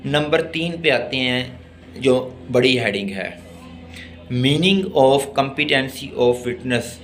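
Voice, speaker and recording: A man with a Pakistani accent lecturing on law, deliberately reading out text and explaining it in a teacherly way. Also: mid-range voice, a bit bright, soft background music. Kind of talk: exam-oriented law lesson, reading English text and explaining it.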